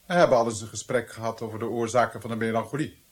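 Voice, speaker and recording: An actor playing a stern, zealous Italian friar in a radio drama, speaking in a formal, accusing, haughty way. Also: male voice, mid-range voice, steady tone, racing, lightly acted. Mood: accusing, grave.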